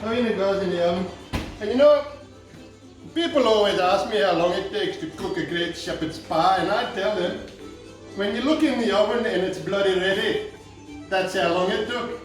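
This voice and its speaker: A man's voice: high voice, moderate pace, close to an everyday voice. A man putting on a rambling Irish home cook. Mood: jokey. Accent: put-on Irish. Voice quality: rambling, deadpan absurd asides. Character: boastful comic Irish home cook, middle-aged man. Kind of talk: comic cooking demonstration. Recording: echoey room, somewhat far from mic, faint music.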